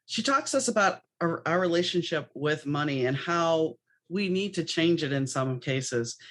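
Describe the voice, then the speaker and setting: Chatty woman hosting a podcast. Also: sits low. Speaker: podcast host. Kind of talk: conversational podcast interview.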